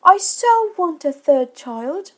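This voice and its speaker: A woman's voice: extremely high pitch, big pitch swings, takes it slowly, pitched above the performer's own voice, heavily acted. A woman voicing a placid, tender mother in a children's story, soothing and affectionate.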